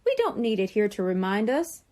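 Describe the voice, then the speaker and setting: A woman voicing a helpful, excitable young mer-kitten girl, a loyal friend in a children's storybook. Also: mid-range voice, normal speed.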